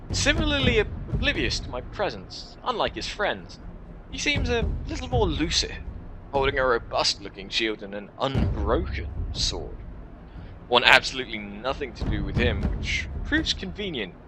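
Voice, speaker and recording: A man's voice: medium pitch, noisy background. A man hosting a gaming video, reading visual novel text aloud with dramatic narration and wry, incredulous asides.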